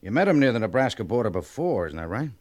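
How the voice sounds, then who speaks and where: An actor playing a stern commanding major in a radio western, authoritative, clipped and sarcastic. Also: male voice, mid-range voice, sing-song, fast, a little mellow, no room sound, studio quality. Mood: dry reproach. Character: stern, sardonic male army major, commanding officer. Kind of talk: 1950s radio western acting.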